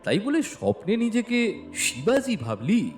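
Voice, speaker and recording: An amused male schoolteacher played by an actor in an audio story, with a very animated, swooping delivery over background music. He questions his pupil in a bantering way. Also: high-pitched, a little slow, exaggerated voice. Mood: teasing. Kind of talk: dramatised audio story dialogue.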